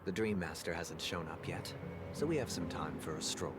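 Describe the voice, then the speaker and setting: A man playing a composed, idealistic young gentleman leader in a video game, speaking formally and philosophically. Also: sits low, lively intonation, normal speed. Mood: reassuring. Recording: loud music under the voice.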